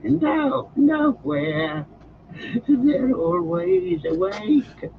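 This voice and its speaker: A wretched, twitchy little creature whines and wheedles in a shrill, cracking voice, as a man puts him on. The pitch leaps wildly between squeals and low mutters. It sits on a dull, lo-fi audiobook track with steady hiss behind it. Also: takes it slowly, heavily acted. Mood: frantic. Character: wretched hissing creature, male, small, twitchy.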